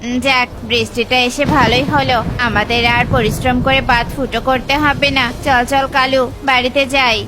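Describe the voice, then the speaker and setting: A woman's voice: high voice, level tone, moderate pace, background noise, lightly acted. A woman voicing a scheming, self-interested bird in a children's cartoon. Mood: smug.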